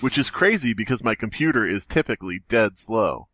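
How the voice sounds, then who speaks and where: A man's voice: fairly low pitch, lively intonation, fairly quick, fairly bright tone, dry recording, clean studio sound. A man co-hosting a podcast, mixing casual read-aloud with sweary, wisecracking chat. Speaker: podcast co-host.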